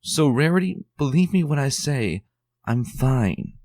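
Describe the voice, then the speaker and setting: A man's voice: medium pitch, lively intonation, a little slow, a little mellow, dry recording, voice pushed up. A man voicing an earnest, bookish young woman unicorn who sounds mildly exasperated.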